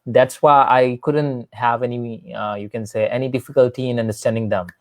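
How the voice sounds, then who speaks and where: A man's voice: mid-range voice, steady tone, moderate pace, no room sound, studio quality. A man from Pakistan, an English teacher, talking earnestly in a casual conversation and often restarting with "I mean". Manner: earnest, repeats himself with "I mean".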